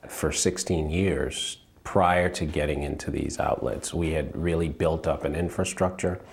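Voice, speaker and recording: A man in his forties from Liberia, giving measured interview answers as a company co-founder and repeating phrases for emphasis. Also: rumbling low, creaky. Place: indoors, shop with product shelves.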